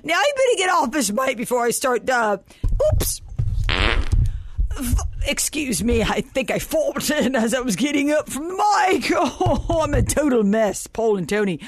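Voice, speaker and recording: A woman's voice: sits high, very animated, unhurried, exaggerated voice. A woman playing a drunk, rambling astrologer who is crude and self-mocking, talking in slurred, giggly bursts for a comedy radio skit. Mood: tipsy rambling. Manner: slurring, giggly, swooping loud outbursts. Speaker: comic horoscope contributor.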